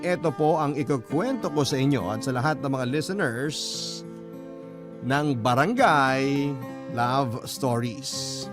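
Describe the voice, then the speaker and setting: Male storyteller over prominent music. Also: a little high. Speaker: radio drama host and narrator. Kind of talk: scripted dramatic storytelling, reading a letter. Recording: music bed under the voice.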